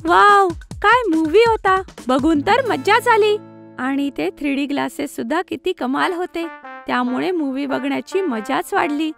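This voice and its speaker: A woman voicing a cheerful, chatty and slightly bossy schoolgirl in a cartoon, with bright, eager chatter. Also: sits high, animated, moderate pace, creaky, faint music behind, exaggerated voice. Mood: delighted.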